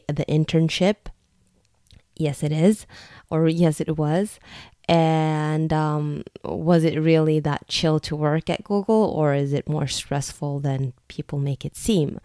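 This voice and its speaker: A woman's voice: low voice, dark tone, dry recording, clean studio sound. A young woman from Norway telling a personal story in a candid, chatty, reflective way. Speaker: vlogger.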